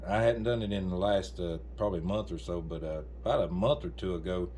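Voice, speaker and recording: Low-pitched man, folksy casual ham radio chat, mellow tone, faint background noise. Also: a little fast. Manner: folksy, colloquial grammar. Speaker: amateur radio operator.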